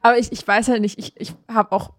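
A woman's voice: a little high, little pitch movement, fairly quick, dry recording. Colloquial woman in casual podcast chat. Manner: colloquial, often checks for understanding. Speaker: podcast co-host.

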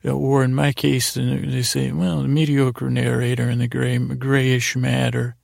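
A man with a gravelly, creaky voice hosting a podcast in a rambling bedtime monologue, halting and repeating himself as he meanders along. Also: mid-range voice, little pitch movement, darkish tone, no echo, studio quality. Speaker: podcast host.

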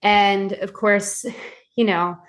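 A woman in her twenties, a guest on a podcast, telling stories animatedly with many fillers and slowing down to explain. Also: mid-range voice, flat delivery. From Filipino heritage. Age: 20s. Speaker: podcast guest, psychic reader. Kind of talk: casual interview chat.

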